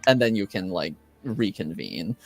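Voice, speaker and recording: A man speaking as game master, improvising descriptions for a tabletop game in a hesitant, halting way. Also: low-pitched, very animated, gravelly, faint music behind.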